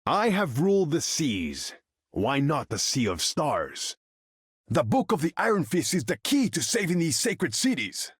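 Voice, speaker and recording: Husky, haughty undersea king. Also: a little high, sing-song, unhurried, no echo, clean studio sound, full character voice. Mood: imperious. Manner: pompous, formal, grandiose, speaks of himself in third person. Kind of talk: video game character voice lines.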